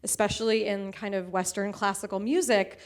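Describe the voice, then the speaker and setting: A woman's voice: mid-range voice, fairly even intonation, fairly quick. Conversational woman, a soprano, on a panel. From United States. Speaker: soprano singer. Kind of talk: panel discussion.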